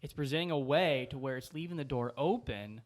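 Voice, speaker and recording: A man's voice: medium pitch, fairly quick. A man talking casually as a panelist in a group discussion. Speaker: podcast panelist. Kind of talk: casual chat.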